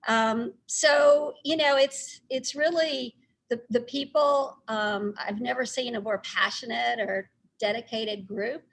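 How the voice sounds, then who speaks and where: A middle-aged woman with a Texas accent, speaking informally and haltingly as a guest recounting her career. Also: on the high side, steady tone, fairly bright tone, poor audio. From Texas, United States. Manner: halting, conversational. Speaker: newspaper publisher, guest speaker.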